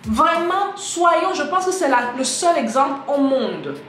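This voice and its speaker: A woman giving a casual spoken lesson to camera, lively and direct, talking straight to her viewers. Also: sits high, unhurried. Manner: lively, direct, addresses viewers as "les gars"; switches into English example sentences. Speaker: English teacher on a video channel. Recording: echoey room with faint music.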